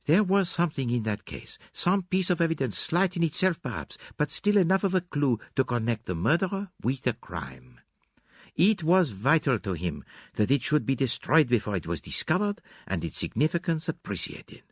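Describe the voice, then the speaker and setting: A man voicing an excitable, theatrical foreign detective, emphatic and dramatic, in an audiobook. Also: mid-range voice, moderate pace, slightly rough, no echo, clean studio sound, voice pushed up. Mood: intent. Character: excitable, theatrical foreign male detective, fastidious and self-reproaching.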